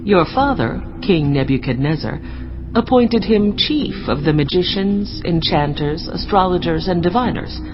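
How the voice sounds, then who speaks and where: Babylonian queen giving counsel, reassuring, very varied pitch, music behind, muffled. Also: expressive, a little slow. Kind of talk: dramatized scripture reading. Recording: dull lo-fi audio with music.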